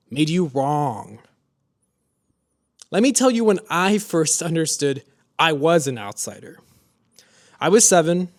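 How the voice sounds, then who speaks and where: A man from Mexico whose first language is Spanish, telling a personal story as a motivational speaker, with dramatic shifts between soft and emphatic lines. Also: a little high. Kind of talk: scripted personal storytelling monologue.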